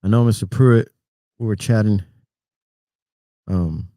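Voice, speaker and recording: A middle-aged man with a Puerto Rican accent, hosting a relaxed, rambling livestream chat and greeting viewers by name. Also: on the low side, slow, no echo. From Puerto Rico. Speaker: trucker livestream host.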